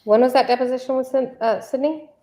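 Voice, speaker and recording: A woman reading a deposition transcript as a persistent attorney who needles a witness and repeats questions deliberately. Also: high voice, steady tone, warm tone. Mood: probing. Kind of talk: read-aloud deposition transcript.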